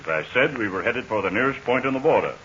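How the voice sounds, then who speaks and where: An actor playing a steady army major recounting a crash landing, in 1940s radio acting style. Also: male voice, mid-range voice, quick pace, close to an everyday voice. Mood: earnest. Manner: hearty, earnest storyteller. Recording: muffled old radio recording with faint hiss.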